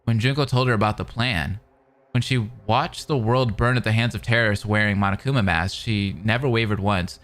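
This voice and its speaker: A man's voice: fairly low pitch, a little fast, fairly bright tone. A man hosting a reaction video, reading visual novel narration aloud with casual, slangy commentary. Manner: informal, slangy asides.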